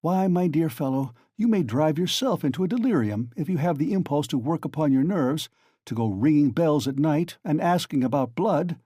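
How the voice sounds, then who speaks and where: A man's voice: on the high side, lively intonation, normal speed, warm tone, breathy edge, no echo, clean studio sound, voice pushed up. A man voicing a sly, genial middle-aged male magistrate who questions in a wheedling, insinuating way.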